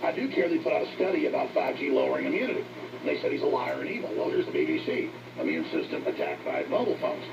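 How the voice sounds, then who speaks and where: Gravelly, fervent male talk show host. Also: mid-range voice, huge pitch swings, fast talker, distant mic. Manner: fervent, exhorting. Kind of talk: impassioned political talk show monologue. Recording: noisy, dull radio playback picked up from afar.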